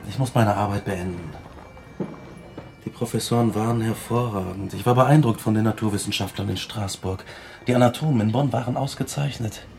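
Brooding, gravelly young scientist. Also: male voice, fairly low pitch, brisk, darkish tone, soft background music. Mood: earnest, driven. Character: tormented, guilt-ridden young scientist recounting his downfall. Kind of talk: German radio drama acting, narration and dialogue.

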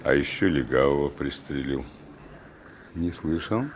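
An actor playing a sly, menacing killer and gang leader with a gravelly voice, in a Soviet-era radio drama. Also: male voice, very deep voice. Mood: sly menace. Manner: slow, taunting, chuckling criminal slang. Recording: dull, noisy old radio recording.

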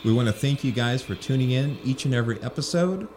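A man reading a scripted podcast sign-off in a brisk, even way. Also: mid-range voice, slight background noise. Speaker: podcast outro announcer.

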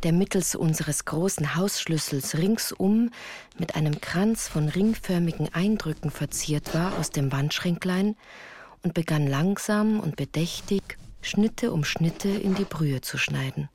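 A woman's voice: lowish voice, unhurried, darkish tone, a little hiss or hum. A woman reading scripted literary narration as the narrator of a radio play. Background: music.

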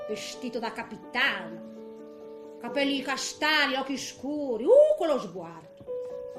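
A garrulous widow. Her voice is high and sharp, with a faint bed of music. She grumbles and reminisces in colloquial, theatrical monologue, feisty and bitter, punctuated by little interjections. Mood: wistful reminiscing. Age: 80s. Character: feisty, bitter, garrulous eighty-year-old Italian widow.

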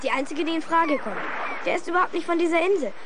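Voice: very high-pitched, expressive, fast. A young performer playing a clever, bossy, precocious little boy detective in a 1970s radio play. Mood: insistent, deducing. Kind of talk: 1970s German children's radio play acting. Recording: noisy old vinyl recording.

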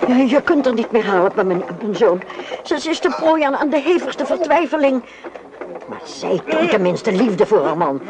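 A woman's voice: fairly high pitch, very animated, moderate pace, noisy background. An actress playing an older, doting, emotional mother in a Dutch radio play, speaking melodramatically. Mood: troubled.

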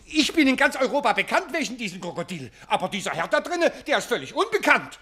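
A man's voice: extremely high pitch, sing-song, quick pace, sharp tone, exaggerated voice. An actor playing a greedy, indignant German showman who blusters and whines in a theatrical radio comedy. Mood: indignant, boastful. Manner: blustering, whining, exclamatory.

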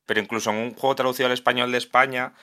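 A man's voice: mid-range voice, little pitch movement, rapid-fire, sharp tone, smooth. A man Asturias, a video game translator chatting as a podcast guest, thinking aloud with frequent restarts. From Asturias, Spain. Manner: thoughtful, many restarts and self-corrections. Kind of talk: casual podcast conversation.

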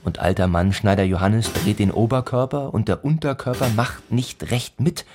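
An actor narrating a grimly comic village tale in a literary radio play, in a rhythmic, repetitive manner. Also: male voice, lowish voice, normal speed, music in the background, close to an everyday voice. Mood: grim, insistent. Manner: incantatory, repeats phrases rhythmically. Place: studio radio play production.

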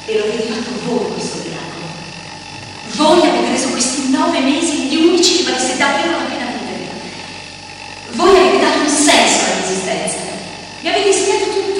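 In a big reverberant hall, far from the mic, an actress plays an intense, volatile caretaker woman. Her high voice delivers a theatrical radio-play monologue in emphatic fragments. Clear noise runs through the recording. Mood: fervent gratitude. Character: embittered, devoted female caretaker, intense and volatile. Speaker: stage actor in a radio play.

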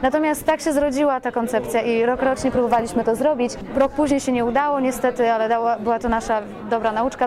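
Higher-voiced effusive woman amid crowd chatter. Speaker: radio play producer being interviewed. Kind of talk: spontaneous interview talk. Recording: busy crowd chatter behind voice. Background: crowd at a public event.

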